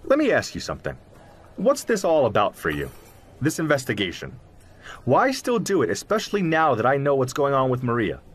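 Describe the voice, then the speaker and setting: An actor playing a sympathetic, cautious zoologist friend in an audio drama, conversational and probing. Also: male voice, medium pitch, huge pitch swings, brisk, slightly rough. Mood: inquisitive.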